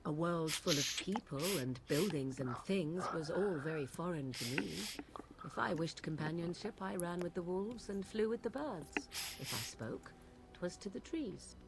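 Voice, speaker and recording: A woman's voice: fairly low pitch, expressive, moderate pace. An actress playing an aloof, haughty young witch of the wilds in a fantasy video game, with precise archaic phrasing. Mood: wistful reminiscence.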